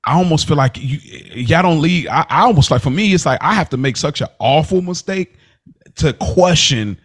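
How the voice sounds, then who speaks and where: A man's voice: a little high, expressive, moderate pace. An American man asking probing questions in a casual podcast chat, colloquial and often rephrasing as he goes.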